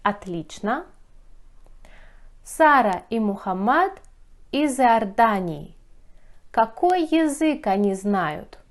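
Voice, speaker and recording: A woman's voice: fairly high pitch, very animated, takes it slowly, pauses often. A woman from Russia teaching a language lesson, speaking carefully and repeating phrases for learners. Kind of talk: slow, clearly enunciated language lesson.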